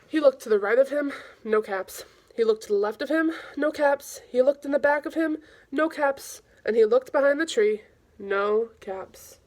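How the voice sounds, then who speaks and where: Woman, higher pitch, children's read-aloud, informal, expressive storytelling. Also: moderate pace.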